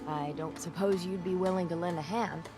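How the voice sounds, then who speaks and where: A woman's voice: fairly low pitch, fairly even intonation, a little fast, over music, close to an everyday voice. A woman playing a proud, grumbling shipwright and harbor handywoman in a video game, blunt, salty and sardonic. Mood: wry. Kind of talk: video game dialogue acting.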